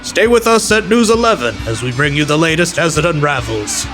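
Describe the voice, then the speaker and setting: An actor playing an earnest television news reporter in an audio drama, with formal broadcast delivery. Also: male voice, fairly high pitch, animated, fairly quick, fairly bright tone, soft background music. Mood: urgent, formal.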